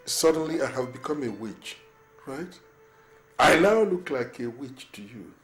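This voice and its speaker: A man's voice: medium pitch, expressive, measured pace, a bit bright, soft background music. A man acting a husband who defends himself against accusations, indignant and defensive. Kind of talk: melodramatic film acting.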